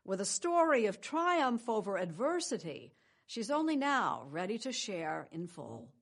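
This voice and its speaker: An older woman hosting a morning news program, reading scripted introductions in a measured, polished way. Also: mid-range voice, sing-song, gravelly. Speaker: news show host. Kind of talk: scripted broadcast narration.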